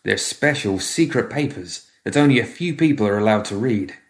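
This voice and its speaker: A man's voice: on the low side, animated, normal speed, roomy sound. A man voicing a nervous newspaper courier in a story, speaking in a coaxing, politely careful way. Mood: secretive, coaxing.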